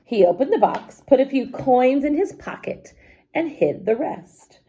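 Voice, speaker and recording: A woman reading a children's story aloud expressively, pausing to explain things simply to young listeners. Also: high voice. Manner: animated, unhurried, explains words simply to children.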